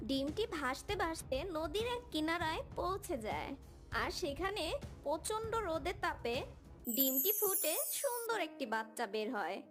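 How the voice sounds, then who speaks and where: A woman's voice: high-pitched, sing-song, moderate pace, soft background music. A woman narrating a scripted children's cartoon story.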